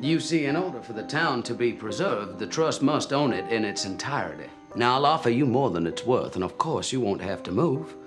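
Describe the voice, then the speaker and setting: A man's voice: mid-range voice, expressive, brisk, hardly any pauses, close to an everyday voice. An actor playing a bold, charming young man who narrates his own tall tale with wry earnestness. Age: young adult. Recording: film soundtrack with music.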